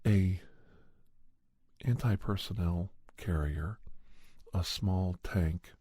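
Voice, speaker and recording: A man narrating a first-person horror story in ASMR style, with a measured, halting delivery in short phrases. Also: deep voice, mellow, husky, no echo, clean studio sound. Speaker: podcast host and storyteller.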